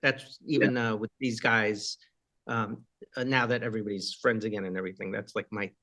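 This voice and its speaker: A rock singer with a high voice, chatting casually in an interview and rambling with many fillers and asides. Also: male voice, fairly even intonation, a little fast, sharp tone.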